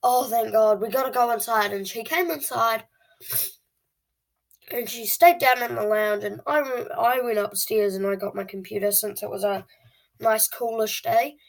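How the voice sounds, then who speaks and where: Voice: mid-range voice, moderate pace, vocal fry, poor audio. An eleven-year-old child hosting a video channel, telling stories casually to viewers in a dramatic, whiny way. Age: child, eleven years old.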